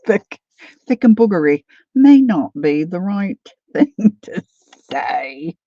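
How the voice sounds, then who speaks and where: A woman's voice: on the low side, big pitch swings, slow, dark tone, no echo. A woman in her sixties with a Philadelphia accent, who hosts a casual craft livestream, chatting in a rambling way while she reads out viewer comments. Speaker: craft channel host. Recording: dull lo-fi livestream audio.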